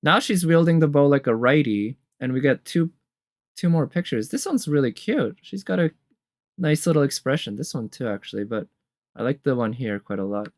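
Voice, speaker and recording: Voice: medium pitch, animated, moderate pace, no room sound. A casual reviewer giving reaction commentary on game trailers, conversational and musing, as if thinking aloud. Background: game trailer music.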